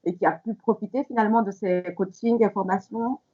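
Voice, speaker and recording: A woman's voice: medium pitch, fairly even intonation, fairly quick, mellow, breathy edge. A woman recruiter giving a semi-formal panel presentation, polite, with hesitant phrasing and repeated words. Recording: slightly dull, lo-fi audio.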